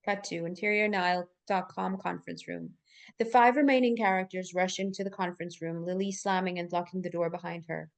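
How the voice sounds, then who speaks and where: A woman's voice: lowish voice, steady tone, brisk, gravelly. A woman reading scripted stage directions aloud as narrator in a live table read.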